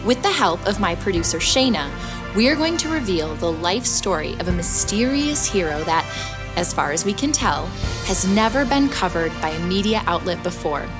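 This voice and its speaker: An actress playing an investigative reporter, presenting a scripted podcast trailer in a polished way. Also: female voice, lowish voice, big pitch swings, normal speed. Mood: composed, intriguing. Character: woman investigative reporter announcing a special report. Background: music.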